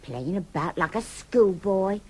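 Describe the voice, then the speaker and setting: A woman's voice: medium pitch, lively intonation, unhurried, dark tone, husky. An actress playing a fussing, scolding middle-aged wife and mother in an audio drama. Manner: nagging, anxious. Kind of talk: radio comedy drama acting.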